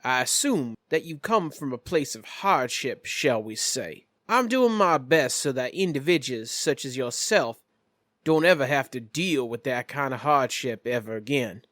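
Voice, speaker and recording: A man playing a smooth, shady businessman patron in a tabletop game, speaking in a polished, formal and evasive way. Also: mid-range voice, lively intonation, a little slow, a bit bright, dry recording, clean studio sound. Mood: smooth, conspiratorial.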